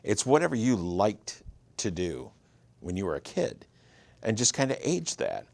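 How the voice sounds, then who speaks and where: A man's voice: fairly low pitch, huge pitch swings, a little slow, creaky, a little mellow, dry recording. Easygoing Michigan man in his fifties. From Michigan, USA. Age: 50s. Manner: easygoing, storytelling. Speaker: guest, writer and producer. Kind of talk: casual interview chat.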